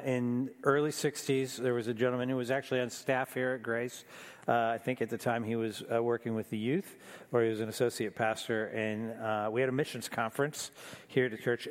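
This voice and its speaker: A man hosting a conversational interview at church, explaining and clarifying things for the congregation. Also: medium pitch. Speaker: church host interviewing missionaries.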